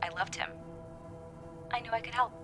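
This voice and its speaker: A woman's voice: a little high, normal speed, over music, lightly acted. An actress playing a guarded, wounded journalist in her twenties in an audio drama. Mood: wistful, defensive. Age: 20s.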